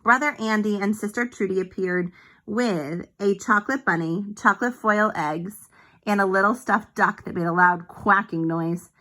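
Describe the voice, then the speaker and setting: A woman with a bright, forward voice and a middle pitch reads a children's story aloud. She sounds like a teacher, telling it expressively and pausing for little explanations to her young listeners. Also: low-quality recording. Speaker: teacher reading a picture book aloud.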